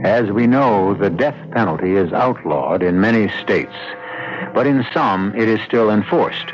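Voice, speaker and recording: Guy narrating old radio drama, solemn n measured, muffled sound with music behind. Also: on the low side. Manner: measured, grave. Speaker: narrator, host. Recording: dull lo-fi old radio broadcast.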